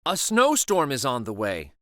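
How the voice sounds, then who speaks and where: A man reading a gentle, kind farm girl. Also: mid-range voice, wildly swooping pitch, normal speed, dry recording, clean studio sound.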